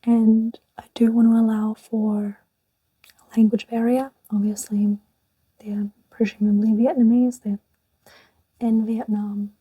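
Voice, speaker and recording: A woman's voice: on the high side, little pitch movement, unhurried, slightly rough, no echo, phone-quality sound. A young woman whispering in a rambling, chatty way for an ASMR video, close to the mic. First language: English. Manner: rambling and chatty, with little laughs. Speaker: ASMR channel host.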